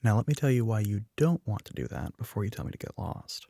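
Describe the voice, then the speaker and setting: A man voices a young male telepath, a smug classmate in an ASMR roleplay. His voice is husky with a creaky edge, recorded close and very dry. He is teasing and matter-of-fact, speaking softly as if to one listener. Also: lowish voice, lively intonation, fast, no room sound. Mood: persuasive, teasing.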